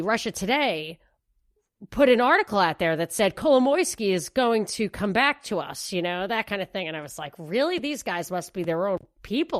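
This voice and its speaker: A woman co-hosting a political talk podcast, talking in an animated, rambling way and restarting her sentences. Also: medium pitch, no room sound, studio quality. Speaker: podcast co-host. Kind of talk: casual political commentary.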